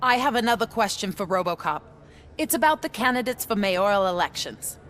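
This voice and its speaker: A woman's voice: medium pitch, expressive, a little fast, fairly bright tone, a little hiss or hum, natural voice. An actress playing a female news reporter who asks probing, formal questions.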